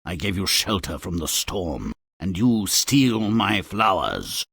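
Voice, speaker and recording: A menacing beast speaks slowly and swoops in pitch for theatrical game dialogue. He is gruff and imperious, close and dry in sound. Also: big pitch swings, a bit bright, no room sound, full character voice. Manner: slow, threatening, imperious. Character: grim, menacing male beast, gruff and commanding. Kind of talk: theatrical video game villain acting.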